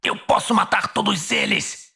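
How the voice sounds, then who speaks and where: Man doing a seething, husky orc warlock. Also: sits high, normal speed, bright, forceful tone, in a large hall, voice pushed up, full character voice. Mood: seething defiance. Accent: Brazilian. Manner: seething, muttering defiance. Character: resentful, proud, scheming male orc warlock. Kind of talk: audio-drama fantasy acting.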